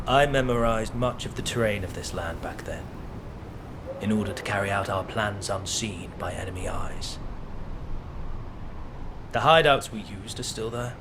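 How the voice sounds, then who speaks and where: Smooth scheming strategist guy, measured and formal, noisy game audio. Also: lowish voice. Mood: persuasive. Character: smooth, scheming male strategist, middle-aged. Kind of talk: video game cutscene dialogue. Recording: game audio with loud background noise.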